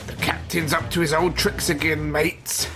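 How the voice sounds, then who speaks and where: A woman playing a bold, gleeful fighting pirate lass with a creaky voice in an adventure drama, over music. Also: low-pitched, flat delivery, measured pace. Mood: wry, ready. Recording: loud music behind the voice.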